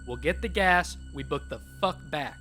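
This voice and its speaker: A man's voice: fairly high pitch, normal speed, sharp tone, soft background music. A man playing a defensive, sarcastic, foul-mouthed young rock musician in a horror story, with exasperated, slangy delivery. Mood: anxious resolve. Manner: profane, exasperated slangy talk. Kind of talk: dramatized horror audiobook dialogue.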